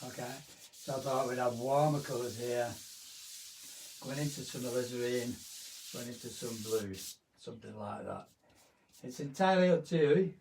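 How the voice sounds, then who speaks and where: A hoarse man, chatting through a live painting demonstration at home. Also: medium pitch, normal speed, long pauses, recorded from a distance, background noise. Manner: chatty, rambling, with fillers. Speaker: art teacher.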